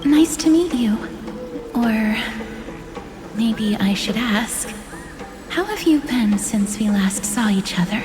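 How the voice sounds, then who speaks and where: A woman playing a gentle, solemn young guardian in a video game, speaking softly, formally and earnestly. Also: a little high, expressive. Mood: tender, solemn. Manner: soft, formal, unhurried, earnest. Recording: echoey reverberant hall, music behind. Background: music.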